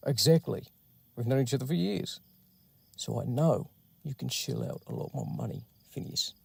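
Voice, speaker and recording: An actor playing a cold, menacing arms smuggler in an audio drama, curt and sly. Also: male voice, mid-range voice, huge pitch swings, normal speed, gravelly, darkish tone, husky, heavily acted.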